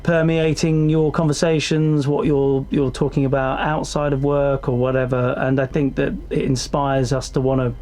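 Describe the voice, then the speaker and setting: A man's voice: medium pitch, flat delivery, moderate pace, hardly any pauses, a little mellow. A man speaking reflectively in an interview, feeling his way through his phrases as a cast member. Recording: music behind the voice.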